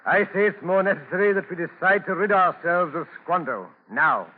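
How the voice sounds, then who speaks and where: A hard, impatient colonist in a 1940s radio drama, curt and insistent. His voice is high and husky. It rings in a long reverb on a tinny old recording. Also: expressive, normal speed. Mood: insistent, hostile. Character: Miles Standish, hard, impatient, suspicious colonist.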